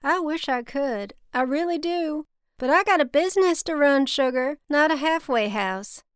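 A woman's voice: high-pitched, normal speed, no echo, studio quality, voice pushed up, close to an everyday voice. A woman voicing a folksy, exasperated but kind businessman with a Southern US drawl, in an audiobook story. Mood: regretful, firm.

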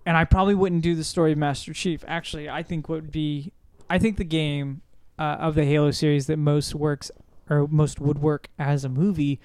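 Male panelist with a slightly high, smooth voice, dry recording, conversational podcast delivery. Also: little pitch movement, fairly quick, few pauses, a little mellow, no room sound. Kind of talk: casual group discussion.

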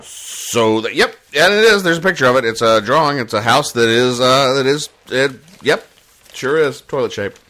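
A man hosting a casual comedy podcast, retelling comic strips in a halting, conversational way and explaining the jokes with mock earnestness. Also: mid-range voice, animated, bright, forceful tone. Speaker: podcast host.

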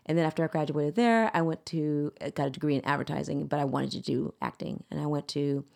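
Low-voiced American woman, animated casual talker. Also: fast talker, vocal fry. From United States, grew up in Houston and Orlando. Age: 40s. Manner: animated, exclamatory, acts out imagined lines. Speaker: podcast guest, stage actor. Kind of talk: casual chat.

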